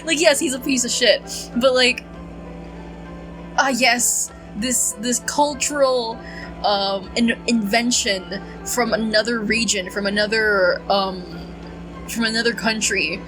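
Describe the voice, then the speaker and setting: A woman streamer giving casual, reactive game commentary, halting and repetitive, exasperated and prone to swearing. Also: fairly high pitch, fairly even intonation, over music, rough recording. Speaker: gaming streamer.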